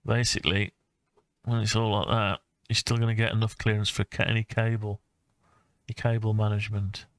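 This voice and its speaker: A man talking through his own design project informally, thinking aloud with hesitant, halting phrases. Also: on the low side, flat delivery, fairly bright tone, smooth, no room sound. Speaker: hobbyist maker presenting own design project. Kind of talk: informal screen-recorded CAD design walkthrough.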